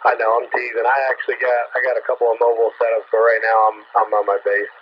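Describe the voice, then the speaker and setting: A man's voice: mid-range voice, completely flat delivery, rapid-fire. A man chatting casually over a two-way radio in a folksy, colloquial way. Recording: muffled CB radio with faint static.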